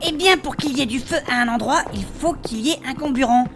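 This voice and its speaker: High-voiced, earnest heroine played by a woman. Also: quick pace, over music. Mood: explaining. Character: earnest, brave female mermaid companion, gently scolding. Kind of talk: French audio fiction acting.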